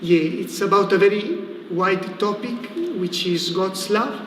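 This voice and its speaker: A high-voiced Italian man speaks as a missionary priest in a livestream interview. His English has an Italian accent and he pauses to search for words. The room rings with long echo over faint noise. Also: fairly bright tone. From Italy. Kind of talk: conversational religious talk in a livestream interview.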